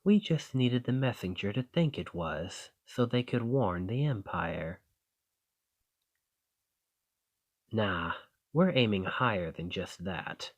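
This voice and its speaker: Man playing a slow, low-voiced roguish bandit leader. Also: lively intonation. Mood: amused, conspiratorial. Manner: folksy, drawling, teasing, unhurried. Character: roguish male bandit leader, confident, wry, genial schemer. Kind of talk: scripted roleplay acting addressed to the listener.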